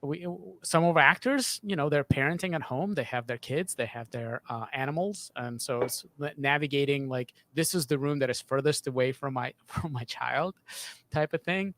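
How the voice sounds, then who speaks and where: A man in his thirties with a mid-range voice explains technical matters casually in an interview. His phrasing is halting and informal, and he speaks into a close boom-arm microphone in a treated home studio. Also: fairly bright tone, a little hiss or hum. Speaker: sound designer. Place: home studio with acoustic panels.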